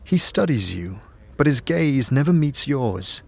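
A man's voice: medium pitch, sing-song, measured pace, vocal fry, slightly rough. A man narrating a scripted fantasy story in the second person, setting the scene as a storyteller.

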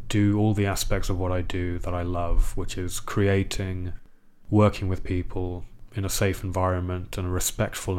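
A young man raised in England with a British accent talks through his thoughts in an interview. His voice sits very low, and he pauses, restarts and fills gaps as he reflects. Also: darkish tone, clear, resonant tone. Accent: British English. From Iceland. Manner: thoughtful, halting, many fillers and restarts. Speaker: guest, composer.

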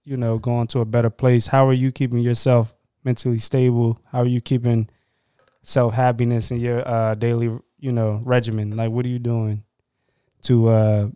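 A man co-hosting a podcast, asking questions in a supportive way with quick asides and filler words. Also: medium pitch, mellow, clear, resonant tone, no room sound, clean studio sound. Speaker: podcast co-host. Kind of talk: casual podcast chat.

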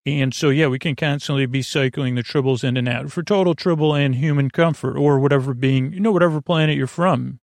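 A man hosting a podcast in a whimsical, meandering monologue, halting and often repeating or correcting himself, with a creaky, gravelly voice. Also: medium pitch, darkish tone, no echo. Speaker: podcast host. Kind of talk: rambling, whimsical bedtime monologue.

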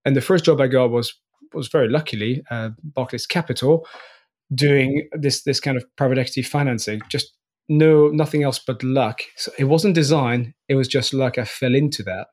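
A man's voice: medium pitch, normal speed. Interview guest: man, forties, from France, blended French and Northern Irish accent, easygoing, repeats words as he thinks. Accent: mixed French and Northern Irish. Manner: relaxed, frequent restarts and small laughs. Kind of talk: casual interview chat.

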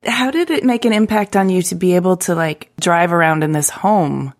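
A woman's voice: fairly low pitch, normal speed. A woman hosting a design podcast, reading a promo and asking interview questions in an upbeat, friendly way. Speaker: podcast host. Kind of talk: host read and interview.

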